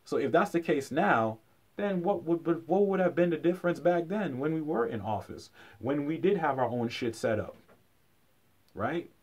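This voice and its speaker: A man's voice: on the high side, lively intonation, moderate pace, a little mellow. Emphatic man lecturing informally. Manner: emphatic, repeats key phrases for effect; colloquial, punctuated with rhetorical questions.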